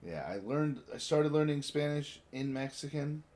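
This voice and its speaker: A man's voice: fairly high pitch, expressive, normal speed, darkish tone. An American man hosting a casual chat, speaking bluntly and swearing freely. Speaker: podcast host.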